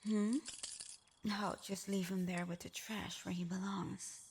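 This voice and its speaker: A woman's voice: medium pitch, little pitch movement, a little slow, creaky, very noisy. A woman playing a sly, flirtatious supervillain in a scripted ASMR roleplay, murmuring breathily with teasing hums. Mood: teasing, sarcastic. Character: flirtatious, sly female supervillain teasing her hero nemesis.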